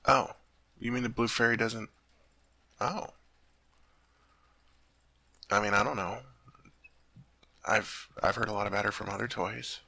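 A man's voice: on the low side, fairly even intonation, measured pace, creaky, long pauses, pushed and bright, no echo, heavily acted. A man playing a sweet, naive teddy bear turned human in an ASMR roleplay, chatting earnestly to the listener as he puzzles over human things. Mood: earnest, sheepish.

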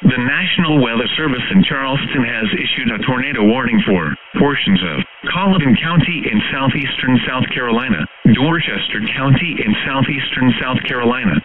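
A synthetic-sounding man reads a formal, scripted emergency weather bulletin in a measured, impersonal manner. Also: medium pitch. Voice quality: synthetic text-to-speech sound. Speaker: weather alert announcer. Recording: tinny narrowband weather radio broadcast.

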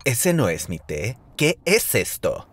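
A stern, fussy tomcat played by a man with a Latin American accent. He is terse and suspicious, with slow speech that leaps widely in pitch, over faint noise. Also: very animated, fairly bright tone. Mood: suspicious alarm.

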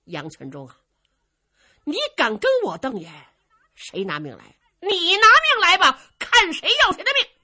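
A woman voicing a bold, defiant young male general in a traditional storytelling performance, speaking loudly and declaiming. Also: low voice, very animated, measured pace, long pauses, breathy edge, exaggerated voice. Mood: challenging. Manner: loud, declamatory storyteller delivery.

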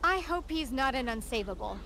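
An actress playing a serene, earnest, spaced-out cult believer in a game cutscene, speaking in a dreamy, measured way. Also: female voice, high voice, animated, vocal fry, pushed and bright, slight background noise, full character voice. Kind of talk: video game cutscene acting.

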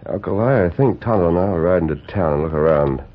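Masked cowboy hero, really deep calm authoritative voice, tinny old radio. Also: male voice. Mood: calm, reasoning. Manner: measured, formal, authoritative. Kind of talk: 1940s radio western acting. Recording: tinny, noisy vintage radio recording.

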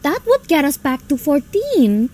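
Excitable society daughter played by a woman, extremely high voice, animated swoops, theatrical and flustered, for radio drama. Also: a little slow. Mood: bright, sociable. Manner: dramatic, exclaiming, stammering when flustered. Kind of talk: stage-like radio drama acting.

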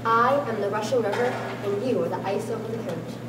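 A woman's voice: medium pitch, a little fast, lightly acted. A young woman in an amateur stage play, playing a wife's raw, passionate, bitter inner self with emphatic, agitated delivery. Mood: intense, earnest. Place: large school hall with small audience. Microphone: far camera. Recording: echoey hall, distant camera, loud room noise.

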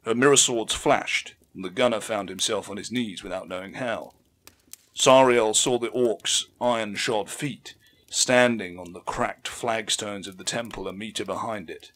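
Male audiobook narrator, fairly deep voice, dramatic scripted storytelling, emphatic, measured delivery. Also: fairly bright tone.

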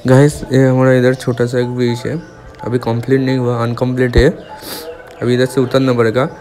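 A man's voice: mid-range voice, monotone, moderate pace, a little mellow, faint music behind. Chatty young man vlogging from a motorbike. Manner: chatty, informal, addresses viewers as guys. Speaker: motovlogger. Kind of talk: casual vlog commentary while riding. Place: outdoors on a village road, riding a motorbike.

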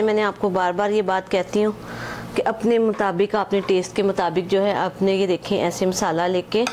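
A woman talks through a recipe at a mid pitch. She speaks in a chatty, homely way and drops English measurements into her speech. A faint hum of room noise sits behind her. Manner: chatty, repeats "acha ji" and "ye dekhen", mixes English measures into Hindi-Urdu. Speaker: home cooking channel host. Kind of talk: casual step-by-step cooking instruction.

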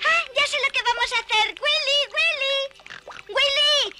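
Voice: very high-pitched, measured pace, music in the background. A performer voicing a curious, spirited little girl bee in a cartoon, inquisitive and full of exclamations. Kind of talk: cartoon dub acting.

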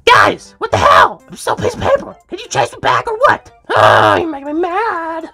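A man's voice: very high voice, huge pitch swings, measured pace, gravelly, a bit bright, faint music behind, exaggerated voice. A man voicing a cranky little mushroom creature in a comic skit, whiny and huffy. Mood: angry, impatient.

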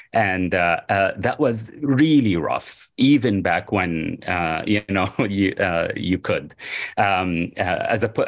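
A man in his forties whose first language is Arabic, answering interview questions over a video call in a rambling way, with many fillers, self-corrections and chuckles. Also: sits low. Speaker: historian guest. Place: home room by a window.

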